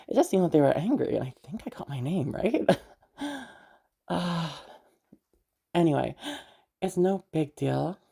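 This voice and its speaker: Low, creaky-voiced, protective young woman acted. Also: warm tone, heavily acted. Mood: sheepish, relieved. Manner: reassuring, halting, sighing, casually sweary. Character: protective, mysterious, easygoing big sister escorting a new acquaintance. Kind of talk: intimate audio roleplay addressed to the listener.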